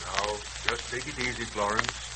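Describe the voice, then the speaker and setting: An older man playing a kindly, philosophical uncle in a 1940s radio serial, speaking in a measured, folksy, moralizing way. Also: fairly low pitch, steady tone, lightly acted. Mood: gentle, consoling. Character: kindly, philosophical elderly uncle, gently reassuring. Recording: crackly, hissing 1940s radio recording.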